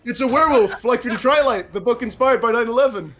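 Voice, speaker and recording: A man's voice: very high voice, brisk, a bit bright. A man playing a goofy, spaced-out bookworm in an improvised comedy game fan dub, meandering and repeating himself. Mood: flat insistence. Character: goofy, spaced-out man absorbed in trashy books.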